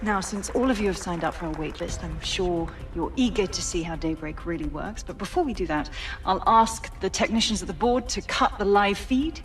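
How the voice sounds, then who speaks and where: A woman's voice: lowish voice, normal speed, recorded from a distance, soft background music, some chatter behind, natural voice. An actress playing an assured, visionary female tech CEO giving a scripted keynote in a video game.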